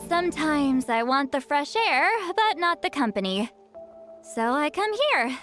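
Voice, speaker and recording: A woman's voice: high-pitched, very animated, takes it slowly, a bit bright, heavily acted. A woman voicing a proud, easily flustered ancient shaman with a youthful sound in a video game. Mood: flustered, musing. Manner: haughty, defensive, easily flustered. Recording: music behind the voice.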